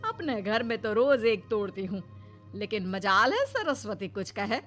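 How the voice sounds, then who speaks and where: Woman doing a defiant middle-aged mom, grumbly and blunt, slowish, soft music under it. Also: medium pitch, big pitch swings.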